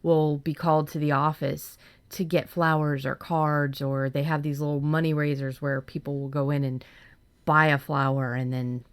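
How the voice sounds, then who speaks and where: A woman's voice: low voice, flat delivery, normal speed, smooth, no room sound. A woman co-hosting a podcast, talking casually and informally about writing. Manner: informal, conversational. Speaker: podcast co-host. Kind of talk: casual discussion of fiction writing.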